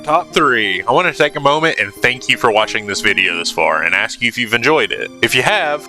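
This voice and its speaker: A man's voice: lowish voice, sharp tone. A man narrating a scripted countdown video in a blunt, opinionated, casual way. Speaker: video host. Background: music under the voice-over.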